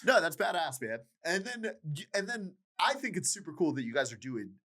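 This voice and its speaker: An excitable podcast interviewer with a lightish, swooping voice, tossing out slangy, halting questions to his guest. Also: a little high. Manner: slangy, enthusiastic, halting with many likes. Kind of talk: casual chat.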